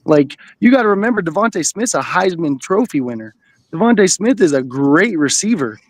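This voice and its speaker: A man talking sports on a panel in a loose, chatty way, using slang and casual phrasing. His voice sits slightly high for a man. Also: sing-song, a little fast.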